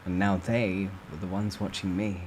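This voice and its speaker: Guy with lowish voice doing dramatic storytime narration, first person, faint ambience in the back. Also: level tone, normal speed, darkish tone, a little hiss or hum.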